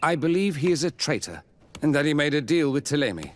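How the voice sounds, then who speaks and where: A man's voice: on the high side, very animated, fast talker, slight background noise. A man acting a cautious, respectful army camp doctor in a video game, polite and wary. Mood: wary, confiding.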